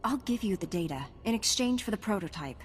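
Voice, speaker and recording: A woman's voice: medium pitch, animated, a little fast, lightly acted. A woman playing a composed, dutiful and earnest young Jedi knight in a video game. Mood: firm. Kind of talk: scripted video game cutscene acting. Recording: long hall reverb, faint music.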